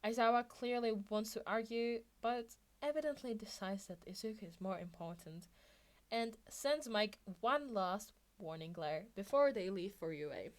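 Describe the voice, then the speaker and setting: A woman's voice: mid-range voice, normal speed. A woman reading fan fiction aloud as a narrator. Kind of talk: story narration.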